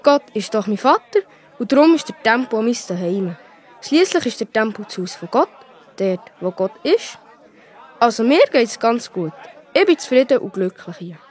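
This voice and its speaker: A woman voices a carefree, self-assured boy of twelve in a children's radio play. The voice is fairly high and very lively in pitch, with a Swiss German accent and light crowd sound behind it. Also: normal speed, breathy edge. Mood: contented, assured. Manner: carefree, matter-of-fact. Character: cheerful, self-assured twelve-year-old boy Jesus. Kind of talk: children's audio drama acting.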